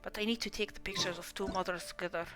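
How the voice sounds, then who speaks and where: A woman's voice: mid-range voice, fairly even intonation, fast talker, a bit bright, faint music behind. A woman streamer chatting casually and rambling over her gameplay, often correcting herself mid-sentence. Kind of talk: casual game stream commentary.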